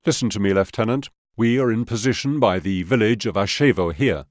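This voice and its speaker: An actor playing a firm, businesslike Soviet officer giving orders in a video game mission briefing. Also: male voice, lowish voice, a little fast, soft background music, natural voice. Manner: authoritative, clipped, instructional.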